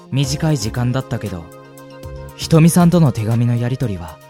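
A young man playing a gentle, sentimental university student in a radio drama, with an earnest, polite manner. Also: medium pitch, close to an everyday voice. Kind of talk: radio drama acting with narration. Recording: music bed under the voice.

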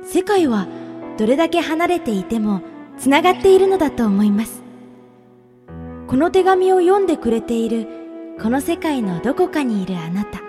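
A woman playing a dreamy, wistful young letter writer, reading in a gentle, measured and sincere way for a radio drama. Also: sits high, very animated. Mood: dreamy, hopeful. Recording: long hall reverb under loud music. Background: prominent music.

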